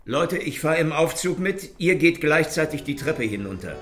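An actor playing an officious, stubborn French police inspector in a German radio crime comedy, stern and easily exasperated. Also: male voice, on the high side, expressive, moderate pace, a bit bright, soft background music. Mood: flustered. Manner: stern, blustering.

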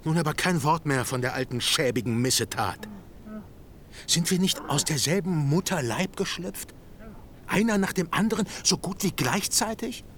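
An actor playing a boisterous, coarse and effusive twin brother in a literary radio drama, with theatrical, drawn-out delivery. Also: male voice, a little high, lively intonation, fairly bright tone, a little hiss or hum. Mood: effusive, brotherly.

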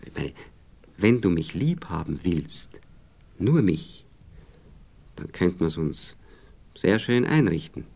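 Deep-voiced, animated Viennese man. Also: slow, vocal fry, pauses often, heavily acted. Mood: earnest, hesitant. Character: evasive, condescending married Viennese man courting a young woman. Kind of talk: naturalistic radio play dialogue.

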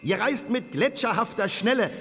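Pompous, husky frost-giant officer. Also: male voice, sits high, animated, normal speed, fairly bright tone, big-room sound, full character voice. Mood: pompous, self-satisfied. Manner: clipped, snobbish military drawl with "äh" interjections. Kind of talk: children's radio play in rhymed verse.

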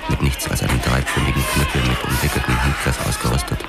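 An actor playing a tough boxer-cop who narrates his own past in a gritty, measured way in a radio drama. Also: very deep voice, little pitch movement, a loud crowd. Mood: grim, tense. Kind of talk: scripted first-person narration, hardboiled radio drama. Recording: loud music and effects behind.

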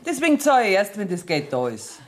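A woman's voice: medium pitch, very animated, fast talker, background noise, close to an everyday voice. An actress performs a haughty woman with a Bavarian accent in a radio drama. She is brazen and imperious, ordering people about as if she were a grand stage star. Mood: haughty, insistent. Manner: bossy, imperious. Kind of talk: 1970s German radio play acting.

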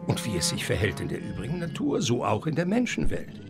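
An actor in a German radio play playing an eccentric, learned professor who lectures in an emphatic, rhetorical way. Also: male voice, medium pitch, wildly swooping pitch, brisk, gravelly. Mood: emphatic, fervent. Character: eccentric, learned male professor lecturing grandly on life and nature. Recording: radio play with music underneath.

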